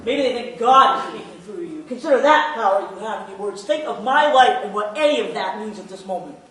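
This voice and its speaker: A man's voice: high-pitched, animated, brisk, pushed and bright. An actor playing an earnest, restless minister and grandfather in a staged play reading. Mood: pleading, firm. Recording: echoey stage, distant, faint noise.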